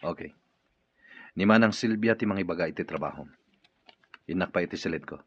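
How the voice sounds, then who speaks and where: An actor playing a gruff, suspicious, irritable wealthy boss in a Filipino radio drama, speaking curtly with a Filipino accent. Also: male voice, sits low, a little fast, muffled recording. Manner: curt, questioning. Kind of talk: Ilocano radio drama acting.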